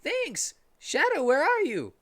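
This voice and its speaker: A man voicing an excitable, bossy young fox boy from a cartoon, loud and earnest. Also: very high voice, slow, voice pushed up, exaggerated voice. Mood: eager.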